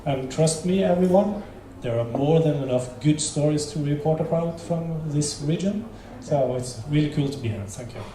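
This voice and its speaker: A man with a Norwegian accent introducing himself on a panel, friendly and halting. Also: a little high, background noise. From Norway. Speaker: editor, panelist. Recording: echoey hall.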